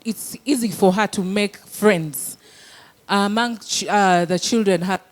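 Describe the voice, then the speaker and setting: A woman's voice: mid-range voice, a little slow. A woman speaking casually as an audience member, feeling her way in short, hesitant phrases through a personal question.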